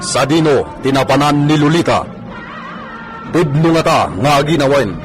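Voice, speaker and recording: A man's voice: fairly high pitch, lively intonation, moderate pace. Male narrator for a radio soap, scripted storytelling delivery to listeners, faint music underneath.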